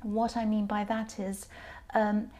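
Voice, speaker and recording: A slightly tired woman with a faintly croaky voice gives an informal tarot reading, explaining hesitantly and circling back to repeat her phrases. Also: medium pitch, little pitch movement, takes it slowly, mellow. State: a bit tired. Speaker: tarot reader, channel host.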